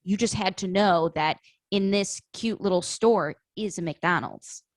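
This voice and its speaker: A woman's voice: medium pitch, measured pace, dry recording, clean studio sound. A young woman from Illinois, a podcast guest, reminiscing about her hometown in a rambling, giggly way with many fillers. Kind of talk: casual chat.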